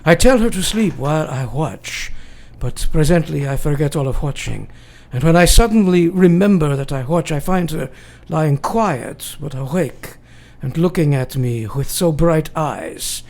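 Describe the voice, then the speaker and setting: An actor playing a grave, devoted elderly foreign professor in a radio drama, speaking solemnly and deliberately in broken grammar. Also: male voice, fairly high pitch, lively intonation, creaky, a little mellow. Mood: solemn, fearful. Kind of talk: radio drama acting, dramatic journal narration.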